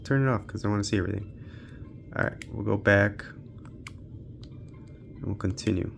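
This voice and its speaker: Lower-pitched, gravelly man thinking aloud. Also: moderate pace, a little hiss or hum. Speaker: tech reviewer hosting a handheld gaming channel. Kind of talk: unscripted casual walkthrough commentary while testing games.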